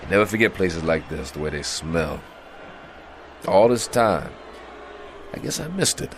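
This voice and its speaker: An actor playing a weary, washed-up American boxer, gentle but proud and disillusioned, in a radio drama. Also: male voice, deep voice, very animated, measured pace, a little hiss or hum. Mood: wistful.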